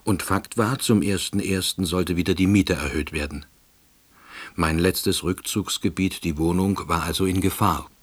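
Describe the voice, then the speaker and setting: An actor playing a withdrawn, middle-aged unemployed househusband who narrates a radio play in a dry, ruminative manner. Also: male voice, low-pitched, normal speed, slightly rough. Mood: brooding, resigned. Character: withdrawn, long-unemployed East Berlin househusband, quiet ruminator. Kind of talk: radio drama first-person narration.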